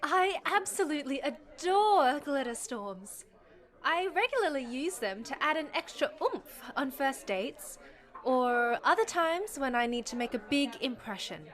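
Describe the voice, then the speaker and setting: Woman as high-pitched, eager, gushing job applicant. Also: very animated, a little slow, fairly bright tone, full character voice. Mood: eager, hopeful. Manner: gushing, enthusiastic. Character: eager, bubbly magical job applicant, a non-shifting shapeshifter. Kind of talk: audio drama acting.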